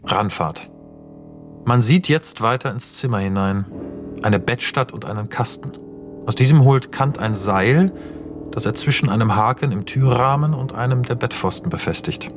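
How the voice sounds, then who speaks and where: A man's voice: mid-range voice, normal speed, music in the background. A man narrating scenes and stage directions for a radio play in a precise, matter-of-fact way.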